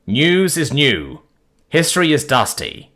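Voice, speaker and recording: A man's voice: fairly high pitch, wildly swooping pitch, slow pace, a bit bright, pitched above the performer's own voice, exaggerated voice. A man playing an exasperated, irritable young man who grumbles and swears. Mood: insistent.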